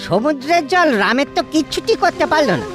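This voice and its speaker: A wondering country villager from a cartoon, gossiping in singsong. The pitch is high and swoops about, with music loud behind. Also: sing-song, quick pace. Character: rustic, wondering villager commenting in a crowd. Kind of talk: Bengali cartoon folk tale acting.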